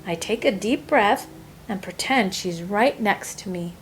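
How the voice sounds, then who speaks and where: A woman reading a children's picture book aloud in an expressive storytelling style, with deliberate phrasing. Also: medium pitch, a little hiss or hum, rough recording.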